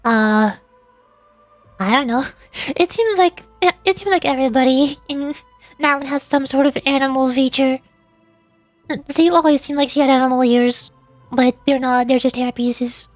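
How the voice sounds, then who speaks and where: Female VTuber, high voice, loose rambling chat with viewers, dry sound over faint music. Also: unhurried, no echo. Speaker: VTuber game streamer. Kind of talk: casual livestream commentary.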